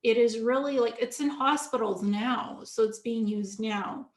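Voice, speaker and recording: A woman's voice: a little high, a little slow, reverberant. A woman from Ukraine, a guest in an interview, explaining at length in an earnest way, often restarting her sentences. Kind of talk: casual interview talk.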